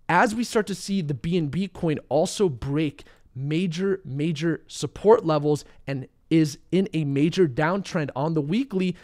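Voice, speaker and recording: A young man, a little hoarse and under the weather, confidently walking viewers through market charts in a casual commentary. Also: on the high side, a little mellow.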